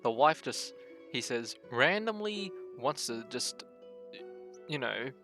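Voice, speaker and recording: A woman's voice: very deep voice, expressive, takes it slowly, a bit bright, soft background music. A woman streaming a game, giving casual, slangy reaction commentary. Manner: informal, slangy, mutters and reads game text aloud.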